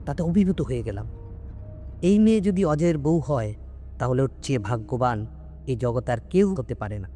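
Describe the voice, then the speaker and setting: A man with a fairly high-pitched voice narrates a ghost story as a practised storyteller would, in literary phrasing. His delivery is expressive and dramatic. Soft music plays behind his voice. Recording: music bed under the narration. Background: background music.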